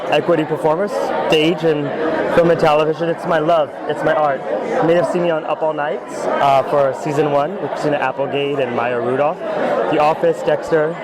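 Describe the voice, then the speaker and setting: Earnest American man over a loud crowd. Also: fairly high pitch, steady tone, normal speed, very noisy. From Los Angeles, United States. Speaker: actor being interviewed. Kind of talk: interview answers. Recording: crowded venue, loud chatter behind.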